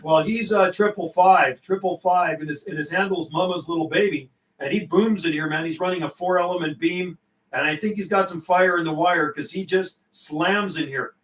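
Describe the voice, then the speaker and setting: High-voiced chatty man in a roomy space. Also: normal speed, a bit bright, recorded from a distance. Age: middle-aged. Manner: chatty, folksy, repeats himself. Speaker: CB radio operator and livestream host. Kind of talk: casual CB radio chat on a livestream.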